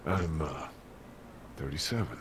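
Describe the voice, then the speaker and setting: An actor playing a wary but gentle man in his late thirties in a zombie video game, speaking in a hesitant, low-key way. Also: expressive, measured pace, slight background noise. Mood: calm, careful. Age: 30s. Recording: game audio with loud background ambience.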